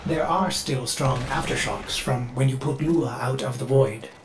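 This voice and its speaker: A man's voice: mid-range voice, moderate pace, vocal fry, noisy background, close to an everyday voice. A male actor voicing a devoted, neurotic AI ship assistant in a video game, with an eager, servile, theatrical manner. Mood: wary. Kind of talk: video game cinematic acting.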